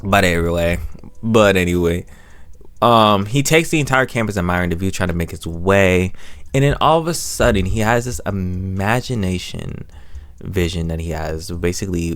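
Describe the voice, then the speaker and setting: A young American man with a low voice recaps a story off the cuff. He rambles as he reviews it, circling back and repeating phrases in a casual way. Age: young adult.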